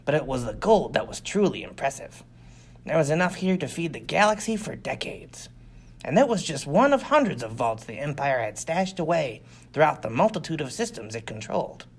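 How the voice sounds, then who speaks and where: A man reads a first-person space adventure as an audiobook narrator. His pitch moves around freely and expressively, and his voice has a gravelly, creaky edge. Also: sing-song, moderate pace. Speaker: audiobook reader. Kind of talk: scripted first-person sci-fi story narration.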